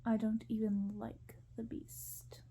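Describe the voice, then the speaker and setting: A woman's voice: on the high side, little pitch movement, slow pace, warm tone, breathy edge, full character voice. A woman reading a storybook in ASMR style, voicing a cold, bullying young prince who is curt and condescending. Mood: scornful, impatient.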